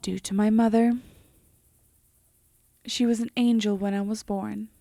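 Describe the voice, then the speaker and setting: Woman as a slow, soft-voiced teasing demoness. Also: medium pitch, level tone, no echo, studio quality. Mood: smug, teasing. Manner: teasing, unhurried, breathy half-whispered delivery. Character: teasing, composed female demon bound to her summoner. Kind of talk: intimate roleplay audio drama.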